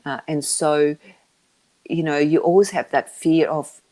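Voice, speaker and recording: A woman who learned English as a second language, answering interview questions about her business in a halting way, with many restarts. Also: sits low, steady tone, dark tone. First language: not English. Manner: halting, with frequent restarts and fillers. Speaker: interview guest, media business founder.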